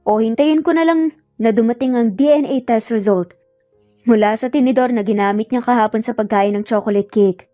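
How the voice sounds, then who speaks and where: Woman voicing a serious, demanding man, bright-toned. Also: on the high side, normal speed, highs cut off, faint music behind, lightly acted. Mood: challenging. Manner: firm, pressing, challenging. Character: serious, demanding adult man confronting his former lover. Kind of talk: dramatic romance audio storytelling.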